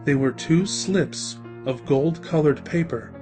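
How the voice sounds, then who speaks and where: A man's voice: a little high, slow pace, music in the background. A man reading scripted story narration as the narrator of a fan-fiction drama.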